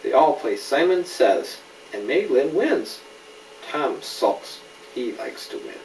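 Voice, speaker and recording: Man, animated read-aloud, patient explanations, questions to a child, echoey room, faint noise. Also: medium pitch, slow pace. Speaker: father reading a story to a child. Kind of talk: read-aloud picture book storytelling with casual asides. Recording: roomy, distant mic, faint background noise.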